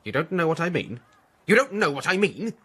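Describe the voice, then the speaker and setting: An actor playing a greedy, excitable gambler's accomplice in a period radio drama, with a blustering, insistent manner. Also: male voice, on the high side, a little fast, breathy edge, exaggerated voice. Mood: demanding, indignant.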